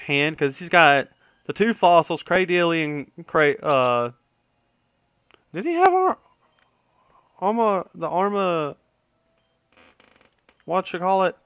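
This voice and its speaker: A man's voice: fairly high pitch, fairly bright tone, smooth, no room sound, clean studio sound. A man giving casual, rambling gaming commentary, conversational and opinionated, often trailing off and correcting himself. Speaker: gaming video host.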